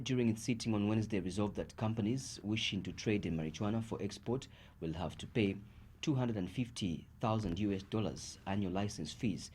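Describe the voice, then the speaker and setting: A man with a Zambian accent reads scripted news in a fairly low voice. He delivers it as a bulletin presenter. Some noise sits behind his voice. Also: darkish tone. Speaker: news reader.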